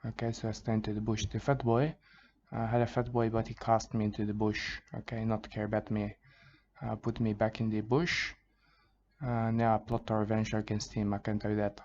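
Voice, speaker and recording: A man voicing a rambling, soft-spoken bushman who mumbles and keeps repeating himself in a parody of soothing wildlife narration. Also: fairly low pitch, completely flat delivery, brisk, a little hiss or hum, lightly acted. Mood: hushed rambling. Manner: hushed, mumbling, repeats "mate" and "I can tell you". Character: rambling male Aussie bushman, soft-spoken, plotting revenge. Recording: dull lo-fi recording.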